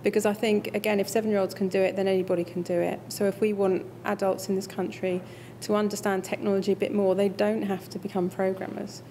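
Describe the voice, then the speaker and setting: A woman speaking to an audience in an easy, conversational way, her voice mellow and fairly flat in pitch. She hesitates with fillers as she talks. A faint low rumble sits under the recording. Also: quick pace, few pauses. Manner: relaxed and conversational, many fillers. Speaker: invited speaker. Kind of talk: closing a talk, answering audience questions.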